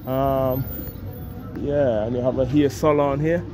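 A man's voice: medium pitch, fairly even intonation, music in the background. A man in his forties from the Caribbean, walking through a village market and chatting easygoingly to his camera and to passersby. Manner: slow, easygoing, friendly greetings to passersby. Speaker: travel vlogger. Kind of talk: casual walking vlog narration. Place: busy outdoor village market. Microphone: selfie camera at arm's length. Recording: street noise behind the voice.

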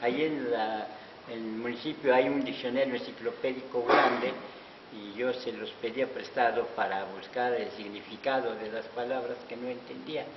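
A man's voice: medium pitch, steady tone, normal speed. Older Zapotec-speaking man from Oaxaca, echoey hall. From Oaxaca, Mexico. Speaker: interviewee, artisan promoter. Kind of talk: interview answers. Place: wood-paneled auditorium hallway, indoors. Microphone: handheld interviewer mic. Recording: echoey hall, dull lo-fi sound with hiss.

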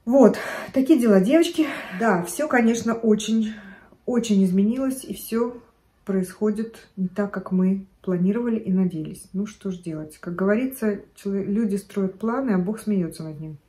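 A woman's voice: medium pitch, moderate pace, warm tone. A middle-aged woman vlogging at home, chatting to camera in a chatty, digressive way and gesturing as she talks. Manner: chatty, digressive, gestures a lot while talking. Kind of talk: casual chat to camera. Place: living room at home, indoors.

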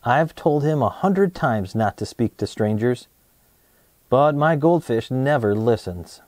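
A man in his thirties presenting and reading a children's storybook aloud in an expressive way, speaking to young listeners. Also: mid-range voice, measured pace, dry recording. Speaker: host of a children's read-aloud show.

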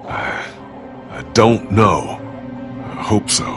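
An actor playing a desperate, earnest, bitten survivor in a zombie video game, sounding strained. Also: male voice, fairly low pitch, takes it slowly, soft background music. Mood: subdued. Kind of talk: dramatic video game acting.

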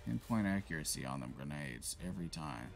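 Deep gravelly gamer cursing at enemies. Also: male voice, very animated, brisk. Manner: talks to the game enemies, swears and trash-talks. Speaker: gaming channel host. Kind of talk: casual gameplay commentary. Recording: voice over loud game music.

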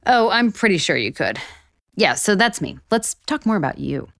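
Sarcastic, flustered author, very animated. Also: female voice, fairly low pitch, moderate pace, gravelly, dry recording, clean studio sound. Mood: wry, evasive. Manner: sarcastic, excitable. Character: sarcastic, flustered, easily exasperated woman author. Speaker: actor in a romantic comedy audio drama. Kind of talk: romantic comedy audio drama acting.

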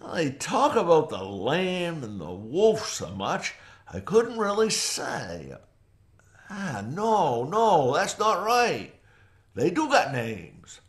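Slow, folksy, rambling backwoods man. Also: fairly high pitch, wildly swooping pitch, full character voice. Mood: musing. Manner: hesitant, rambling, plainspoken. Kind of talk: audio drama acting.

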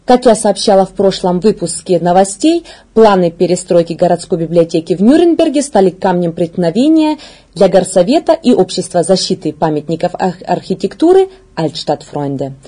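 A woman in her thirties reads scripted news as a presenter. Her voice is soft-edged and husky, with a dark, relaxed tone. Her pitch moves up and down in a very lively way as she stresses key words. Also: normal speed. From Ukraine. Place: small indoor video studio.

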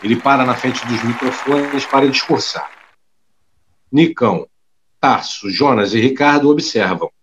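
A man's voice: mid-range voice, a little slow, fairly bright tone, slight background noise. A man narrating scenes for an audio drama from a script. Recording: dull lo-fi recording.

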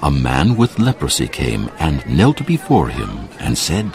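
A man giving scripted narration for a dramatised audio Bible in a measured, solemn storytelling manner. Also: a bass voice, huge pitch swings, darkish tone, soft background music.

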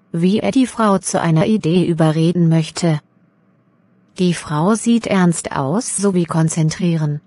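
A woman voicing a young exam candidate in a scripted role-play, speaking in slow, careful sentences. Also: on the low side, a little mellow, dry recording. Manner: slow, careful learner's German.

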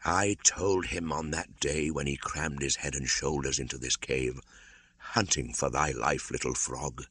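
A man voicing a proud, stern yet tender old mother wolf in an audiobook, speaking slowly and gravely. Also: a bass voice, steady tone, sharp tone, no echo, heavily acted. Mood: grim satisfaction. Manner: slow, solemn, grave.

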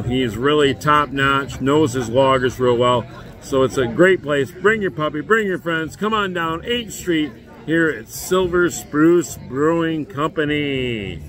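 An older man in his sixties giving a relaxed, rambling beer-garden tour, filmed on a handheld phone outdoors. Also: fairly high pitch. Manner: relaxed, rambling, many fillers. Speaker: beer review vlogger. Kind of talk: casual vlog walk-through. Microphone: phone camera at arm's length.

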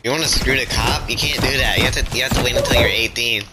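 A man bantering casually in game voice chat, teasing others in a deadpan way. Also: medium pitch, fast talker, over loud music. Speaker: gamer trolling in voice chat.

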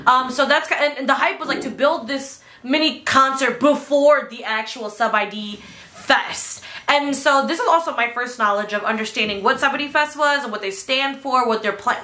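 A woman's voice: a little high, normal speed, bright, forceful tone. A young woman with an American accent chatting casually as a podcast co-host, rambling with slang and some swearing. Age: young adult. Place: small living room, on a couch. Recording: echoey living room.

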